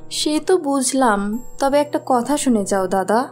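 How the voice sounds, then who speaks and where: Lady doing cruel cartoon stepmom, high voice, scornful n scolding, music under it. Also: animated, a little slow. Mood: coaxing. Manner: scornful, sharp-tongued, scolding. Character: scheming, spiteful young second wife, cruel stepmother. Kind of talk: Bengali fairy-tale cartoon voice acting.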